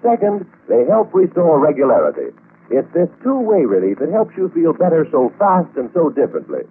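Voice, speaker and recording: A man's voice: a little high, huge pitch swings, normal speed, narrow, tinny audio. A male announcer reading a 1940s radio advertisement in a polished, persuasive, emphatic manner. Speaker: commercial announcer. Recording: thin, noisy vintage radio recording.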